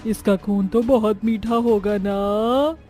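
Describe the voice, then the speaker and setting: A woman voicing a greedy, confident female witch ghost in an animated horror story, eager and boastful. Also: mid-range voice, takes it slowly, soft background music, lightly acted.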